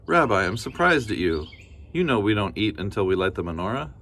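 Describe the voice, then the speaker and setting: A man voicing a kindly old grandmother who fusses warmly over her guest. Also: on the low side, animated, a little fast, slight background noise. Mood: doting. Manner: doting, gently scolding.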